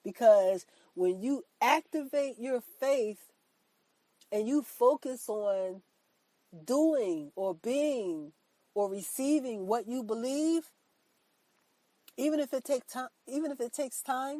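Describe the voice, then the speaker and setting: A woman with a slightly congested, stuffy voice delivers a motivational faith talk. Her tone is mellow and dark, and she repeats her points with earnest emphasis, often adding "that's right." The sound is close and dry. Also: fairly high pitch, dry recording, poor audio. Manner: earnest, repetitive, emphatic preaching; affirming herself with "that's right". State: congested from a cold. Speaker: podcast host.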